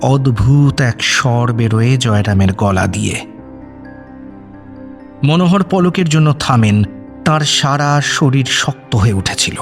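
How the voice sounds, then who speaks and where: A man's voice: medium pitch, lively intonation, slow, pauses often, faint music behind. A man reading dramatic scripted narration as the storyteller of an audio drama.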